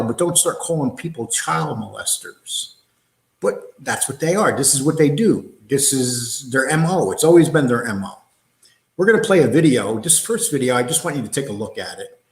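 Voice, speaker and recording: A man hosting a casual livestream, talking in a blunt, combative, streetwise way. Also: medium pitch. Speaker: show host. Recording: roomy, echoey sound.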